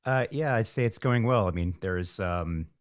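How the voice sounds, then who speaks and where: A man's voice: fairly low pitch, moderate pace, no room sound, studio quality. A man talking casually as an interview guest, musing in a reflective, wordy, rambling way. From San Francisco Bay Area, California. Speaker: guest, musician. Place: home setup, remote call.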